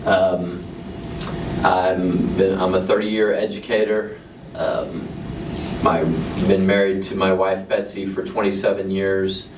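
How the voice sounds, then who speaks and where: Deep, flat-voiced man in his fifties. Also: takes it slowly. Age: 50s. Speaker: school principal. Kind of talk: interview answers. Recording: roomy, distant school recording with noise.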